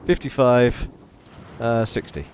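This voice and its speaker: A man's voice: medium pitch, fairly even intonation, slow pace, darkish tone, muffled recording, a little hiss or hum. A man playing in a tabletop game, chatty and self-mocking, sometimes counting aloud. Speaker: player. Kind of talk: casual tabletop game chat. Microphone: podcast mic.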